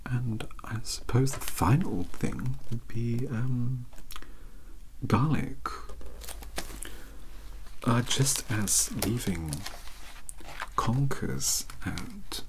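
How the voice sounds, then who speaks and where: A man playing a young, bookish British vampire-hunting mentor in a soft-spoken ASMR roleplay, polite, awkward and formal, with hesitant, stammering phrasing. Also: mid-range voice, lively intonation, drawn-out words, long pauses, a little hiss or hum, low-quality recording. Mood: earnest, scholarly.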